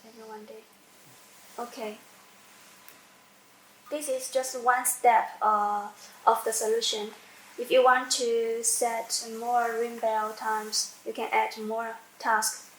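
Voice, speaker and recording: A woman giving an unscripted step-by-step software demonstration, halting as she searches for words and repeats phrases. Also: a little high, little pitch movement. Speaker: software tutorial presenter. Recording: echoey room with faint background noise.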